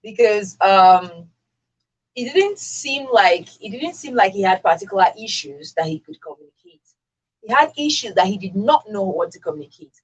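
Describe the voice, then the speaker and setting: A woman with a Nigerian accent speaks as a radio talk show host. Her voice has a slightly husky edge at a middling pitch. She is emphatic and opinionated, and often repeats a phrase to press her point. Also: lively intonation, normal speed, low-quality recording.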